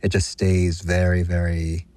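A man's voice: low-pitched, monotone, measured pace, darkish tone, no echo. A man hosting a food radio show, interviewing guests in a friendly casual way.

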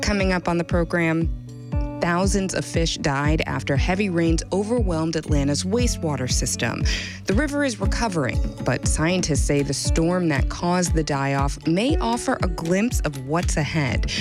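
Woman, morning radio host, lowish voice, scripted, music bed. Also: expressive, normal speed. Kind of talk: scripted news announcing.

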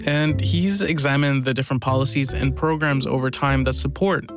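A man's voice: mid-range voice, steady tone, moderate pace, over music. A man reporting on a conversational news podcast, explaining things in a lightly amused way.

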